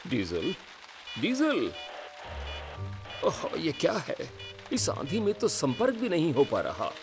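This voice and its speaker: An actor playing a fretful, responsible railway dispatcher in an animated children's series dub. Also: male voice, a little high, slow, music in the background, exaggerated voice.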